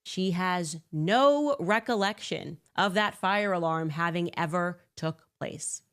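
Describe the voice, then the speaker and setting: Sarcastic woman, low voice. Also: slow pace, smooth. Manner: sarcastic, incredulous asides. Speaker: podcast host. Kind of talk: commentary monologue.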